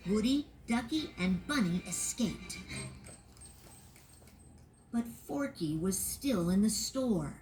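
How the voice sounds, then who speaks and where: Expressive woman reading a storybook. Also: lowish voice, poor audio. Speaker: narrator. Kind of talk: storybook read-along narration.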